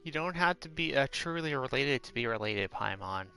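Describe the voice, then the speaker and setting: Bright-voiced man commenting on gameplay. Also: medium pitch, lively intonation, normal speed, gravelly, clean tone. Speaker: game streamer. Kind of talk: casual commentary over gameplay. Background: game music and dialogue.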